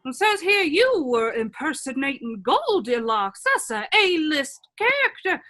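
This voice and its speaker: Crude troll jail guard, gruff folksy drawl, bright hard edge, takes its time, radio play. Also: female voice, high voice, sing-song, measured pace, heavily acted. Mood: grumbling, matter-of-fact. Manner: folksy, slangy, hesitant official drawl. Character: gruff, crude troll jailer, formerly nine-headed. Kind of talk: irreverent radio play acting.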